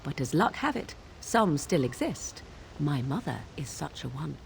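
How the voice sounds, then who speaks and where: A haughty, low-voiced witch. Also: sing-song, vocal fry, slight background noise, heavily acted, low-quality recording. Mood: lofty, instructive. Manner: archaic, formal, measured, haughty diction. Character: proud, aloof young witch of the wilds, shapeshifter. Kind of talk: fantasy video game dialogue.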